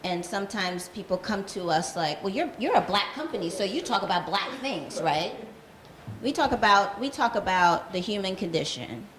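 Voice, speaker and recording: A woman's voice: medium pitch, expressive, normal speed, a bit bright. A woman who leads a dance company, speaking thoughtfully at a panel discussion, with some hesitant fillers. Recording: roomy hall with faint ambience.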